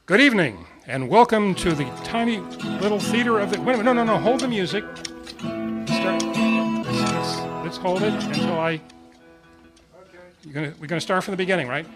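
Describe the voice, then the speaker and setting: A man announces and narrates an amateur radio play in old-time style. His voice is fairly high for a man, with some music in the background. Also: expressive, normal speed, poor audio. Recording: live club performance with music behind.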